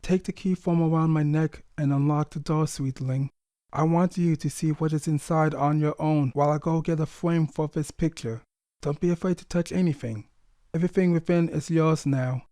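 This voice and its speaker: Man voicing a gentle, grieving father pony, softly mellow. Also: fairly high pitch, fairly even intonation, no echo, clean studio sound, pitched above the performer's own voice, close to an everyday voice. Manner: tender, earnest, measured storytelling to his daughter. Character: grieving, gentle, loving middle-aged father pony stallion.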